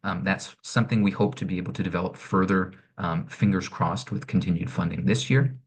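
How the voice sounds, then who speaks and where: A man with a deep, low voice walks through arts programs in an online info session, speaking into a computer mic. He explains things in a measured way, with frequent small fillers as he goes. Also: fairly even intonation, husky. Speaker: director of programming presenting an arts network. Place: home or office, online session. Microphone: computer mic on a video call.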